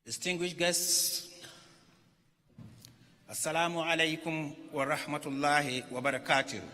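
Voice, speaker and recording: Bright, forceful man in echoing hall. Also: fairly high pitch, level tone, unhurried, slight background noise. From Ghana. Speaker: religious leader reading a message at a conference. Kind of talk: formal speech read aloud. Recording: echoey hall with long reverb.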